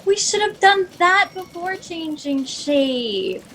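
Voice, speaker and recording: A woman's voice: very high voice, sing-song, slow, a bit bright, heavily acted. A woman voicing a mischievous shape-shifting spaceship being, blunt and contrary, in a sci-fi satire audio drama. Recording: noisy home video-call audio.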